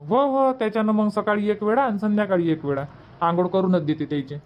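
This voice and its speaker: A man's voice: sits high, a little fast, muffled recording, lightly acted. Man as an easygoing cartoon village uncle, Varhadi Marathi accent. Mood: casual. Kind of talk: cartoon comedy dubbing.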